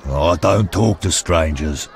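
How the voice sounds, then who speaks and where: An actor playing a surly, grasping innkeeper in a fantasy video game, brusque and unwelcoming. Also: male voice, deep voice, slow, a faint crowd. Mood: grudging. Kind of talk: video game dialogue acting.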